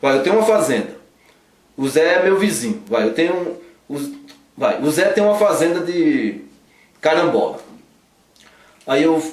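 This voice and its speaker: Joking law teacher. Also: male voice, a little high, big pitch swings, moderate pace, long pauses. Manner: playful, teasing an unseen sidekick. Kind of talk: joking casual law lesson. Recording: roomy echo, a bit far from the mic.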